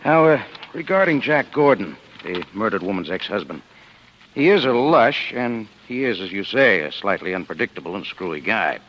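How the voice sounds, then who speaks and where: An actor playing a wry, skeptical homicide lieutenant in a 1940s radio drama, with a sardonic, needling, colloquial delivery. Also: male voice, on the low side, very animated, normal speed, fairly bright tone, a little hiss or hum. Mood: matter-of-fact. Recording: dull, lo-fi vintage radio recording.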